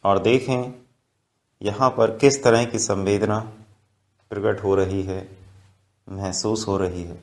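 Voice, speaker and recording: A man guiding a meditation in Hindi with calm, measured instructions. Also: lowish voice. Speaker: meditation guide.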